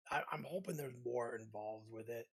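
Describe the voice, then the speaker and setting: A man's voice: mid-range voice, moderate pace, breathy edge. A man co-hosting a podcast, chatting informally and giving his opinions on games. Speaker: podcast co-host. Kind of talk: casual chat about games.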